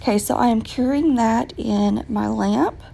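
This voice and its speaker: Slow, gravelly-voiced woman. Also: fairly high pitch, mellow, slight background noise, rough recording. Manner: slow, patient, with frequent ums. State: raspy voice from a recent illness. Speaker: nail tutorial vlogger. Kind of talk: casual step-by-step nail tutorial voice-over.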